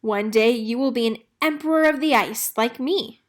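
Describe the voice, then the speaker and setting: A woman reading a children's storybook as a big male emperor penguin, a proud, protective and gentle father. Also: on the high side, normal speed, natural voice. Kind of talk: children's storybook read-aloud.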